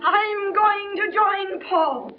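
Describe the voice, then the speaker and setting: A woman's voice: extremely high pitch, drawn-out words, full character voice. An actress in a 1930s radio drama playing a vengeful young woman who sobs and shrieks in theatrical fury. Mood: hysterical. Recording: muffled 1930s radio transcription.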